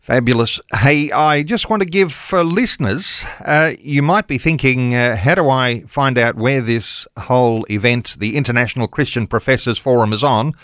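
Male radio host, mid pitch, dry close studio sound, chatty and upbeat. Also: moderate pace, few pauses, a bit bright, no room sound. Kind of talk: radio interview and announcements.

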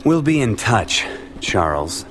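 An actor playing a terse, suspicious investigator in an audio drama, probing and curt. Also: male voice, on the low side, sing-song, slow. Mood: guarded, probing. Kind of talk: dramatized radio play dialogue.

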